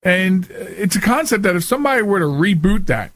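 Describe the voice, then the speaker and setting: A man's voice: sits high, big pitch swings, fairly quick, fairly bright tone, dry recording. An American man hosting a radio talk show in a casual, chatty, folksy and joking way.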